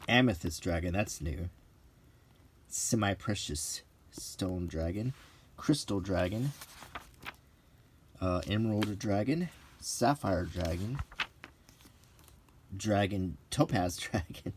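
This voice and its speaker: A middle-aged man rambling casually in a halting, unhurried way as he browses a book, with dry asides. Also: low-pitched, animated, a little hiss or hum. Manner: unhurried, halting, dryly joking. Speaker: hobbyist video presenter.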